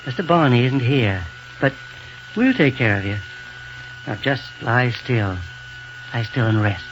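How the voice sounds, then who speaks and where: A man playing a kindly, cautious doctor in a 1930s radio serial, soothing and deliberate, on a noisy old broadcast. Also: mid-range voice, lively intonation, dull audio, lightly acted. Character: kindly, cautious doctor tending a hurt boy.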